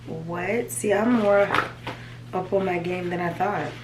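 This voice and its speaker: A woman with a raspy, allergy-hoarse voice, chatting casually to her viewers at home, rambling as she shows her planner. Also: fairly low pitch, takes it slowly, echoey room, background noise. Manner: rambling, chatty, talks to viewers as "you guys". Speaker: vlogger. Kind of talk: casual chat. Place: home, at a desk.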